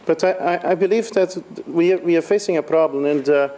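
Man, mellow tone, hesitant, searching for words, conference panelist. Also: a little high, brisk. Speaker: law panelist.

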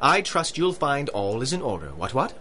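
An actor playing a pompous, jovial British colonel and big-game hunter in a radio drama, with hearty, old-fashioned upper-class talk. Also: male voice, mid-range voice, sing-song, normal speed, pushed and bright, slight background noise.

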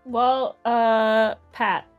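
Hesitant, very slow-spoken higher-pitched woman. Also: flat delivery, highs cut off, faint music behind. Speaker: player in a word game. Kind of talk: casual chat.

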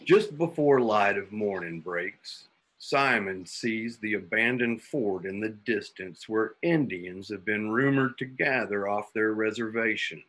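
A man's voice: lowish voice, a little slow, fairly bright tone. A man giving scripted dramatic narration for a radio play, reading out sound cues as the narrator. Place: home room on a video call.

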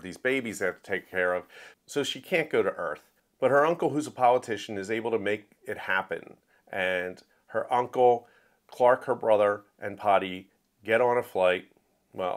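Philadelphia man with a lively, swinging delivery. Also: fairly low pitch. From Philadelphia, USA. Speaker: book reviewer, show host. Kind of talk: casual comedic review.

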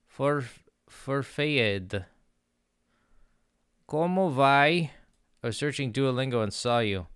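A young man talking slowly and unhurriedly on his stream, his voice smooth and clean. He jokes and boasts playfully, stumbling through beginner Portuguese between chat replies, close on a studio mic in a dry little room. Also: medium pitch, no echo. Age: young adult. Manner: jokey, playfully boastful, mixes in halting learner Portuguese. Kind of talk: casual live-stream chat, reading chat aloud. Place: small home room. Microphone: studio mic on arm with headphones.

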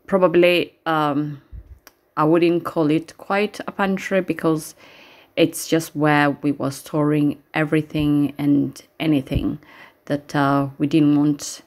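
A middle-aged woman narrating a casual home vlog in a halting, hesitant way, pausing often. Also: sits low. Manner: hesitant, halting delivery with frequent pauses. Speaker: home renovation vlogger.

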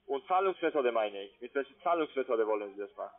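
A man's voice: medium pitch, expressive, fast talker. A man who is not a native German speaker, working as a call centre sales agent, insistent and ungrammatical in his phrasing. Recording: narrow tinny phone line.

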